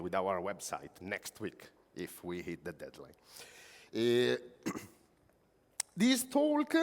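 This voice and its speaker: A man with an Italian accent giving a conference talk, pausing often with many filler sounds. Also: fairly low pitch. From Italy. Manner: halting, full of "uh" fillers. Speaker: conference speaker, technical coach. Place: large conference hall. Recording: long hall reverb.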